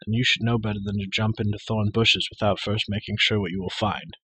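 Man voicing a calm noble warrior, low husky voice, measured, dry close sound. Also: dry recording, rough recording. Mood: gentle. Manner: measured, grave and gentle. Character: wise, calm grown warrior lord. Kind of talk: audiobook character voice.

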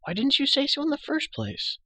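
A man plays a young girl of noble birth from a storybook, pitched well above a narrator's range. The girl prattles in a bossy, matter-of-fact way and is quick to scold. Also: vocal fry, pushed and bright, no room sound, exaggerated voice. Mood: exasperated. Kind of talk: storybook character voice.

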